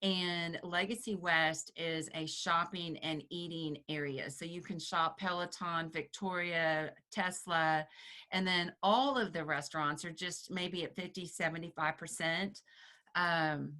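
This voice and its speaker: A woman with a Texan accent, chatting lively and expressively as a real estate agent on an interview panel. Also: fairly low pitch. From upstate New York, raised in Texas. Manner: lively and expressive, quick to laugh. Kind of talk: casual interview chat.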